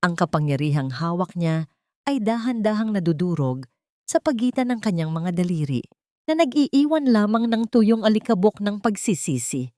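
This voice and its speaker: A woman's voice: mid-range voice, big pitch swings, moderate pace, a little mellow, dry recording. A woman reading a scripted dramatic story as narrator, in a solemn, melodramatic manner.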